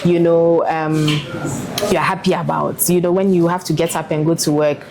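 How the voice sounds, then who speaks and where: Low-voiced woman, earnest career interview guest. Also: flat delivery, moderate pace, creaky, a little mellow, slight background noise, low-quality recording. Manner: earnest, reflective, many fillers. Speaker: air traffic controller, guest.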